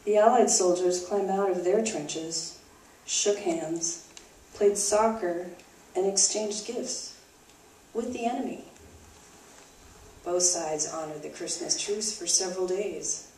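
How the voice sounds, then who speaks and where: Roomy, distant low-voiced woman presenting. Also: measured pace, darkish tone. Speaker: puppet show performer and presenter. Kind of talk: scripted live stage presentation. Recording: roomy, distant mic, faint noise.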